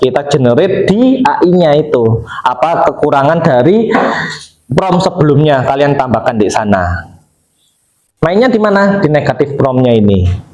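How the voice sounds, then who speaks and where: A male teacher lectures his class, walking through each step and posing questions he then answers himself. His voice sits on the higher side, and the room around him rings with echo. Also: darkish tone. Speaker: vocational school teacher. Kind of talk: classroom lecture with screen demonstration. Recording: roomy echo.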